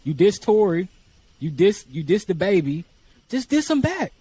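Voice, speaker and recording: A man co-hosting a casual podcast, with a fairly high voice that swings widely in pitch. He argues hard and drives his point home by repeating it. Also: big pitch swings, normal speed, warm tone, slightly rough, rough recording. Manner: argumentative, hammers points by repeating them. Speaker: podcast co-host. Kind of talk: casual group podcast chat.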